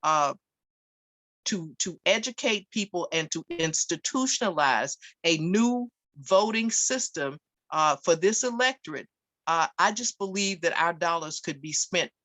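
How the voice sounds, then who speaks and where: A woman panelist in a debate, speaking deliberately and emphatically, repeating her phrases. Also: fairly low pitch, lively intonation, a bit bright, no echo.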